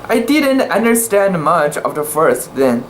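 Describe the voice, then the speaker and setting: A man reading a sea story aloud as an amateur, with careful, halting delivery and occasional stumbles, speaking English as a second language. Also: a little high, very animated, slight background noise. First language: not English. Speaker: amateur reader.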